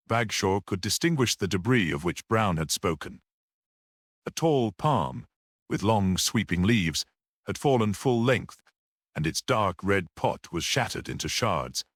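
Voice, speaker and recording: Low-voiced man narrating, widely varied intonation. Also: fairly bright tone, no room sound, studio quality. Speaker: audiobook narrator. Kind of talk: scripted narration of a gothic mystery story.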